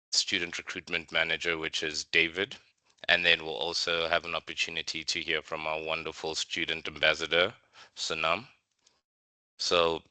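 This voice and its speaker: A man speaks in a very deep, sharply bright and crisp voice. He hosts an online session in a formal, polite, scripted manner. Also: no room sound. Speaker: webinar host.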